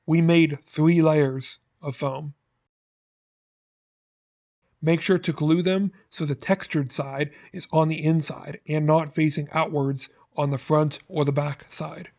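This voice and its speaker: Guy reading out how-to steps, kinda high voice, really monotone, plain and instructional. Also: slow, vocal fry. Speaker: host of prop-making tutorial. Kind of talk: scripted how-to narration.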